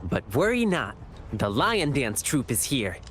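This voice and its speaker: Plucky boyish game hero, eager, polite, unhurried, widely swinging pitch. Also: male voice, big pitch swings, a bit bright, a little hiss or hum. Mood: determined, plucky. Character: eager, kind-hearted, plucky lion dancer boy. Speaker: lion dance troupe performer. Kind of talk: video game character dialogue.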